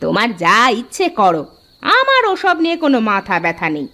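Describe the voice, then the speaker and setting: A woman's voice: medium pitch, huge pitch swings, a little fast, bright, forceful tone, full character voice. A woman playing a dismissive, no-nonsense village wife in a comedy cartoon, with a scolding manner. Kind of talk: Bengali comedy cartoon dubbing.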